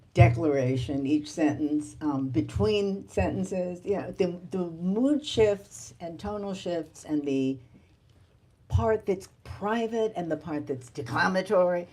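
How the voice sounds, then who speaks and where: A woman interviewing at a book event in thoughtful literary conversation, with halting, reflective phrasing. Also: on the low side, mellow, a little hiss or hum. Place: live bookstore event.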